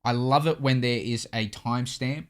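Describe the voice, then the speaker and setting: A man's voice: mid-range voice, moderate pace, clear, resonant tone. Easygoing man in his thirties chatting. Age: 30s. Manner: easygoing, chatty, with restarts and laughs. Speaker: co-host of a reaction channel. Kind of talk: casual chat.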